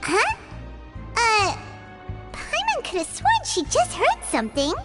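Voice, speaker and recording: A tiny, chatty fairy companion in a video game. The voice is piercingly high and childlike, swinging lively with naive eagerness. Loud music plays behind it. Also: female voice, slow, full character voice. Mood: curious, puzzled. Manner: speaks of herself in third person, exclamatory.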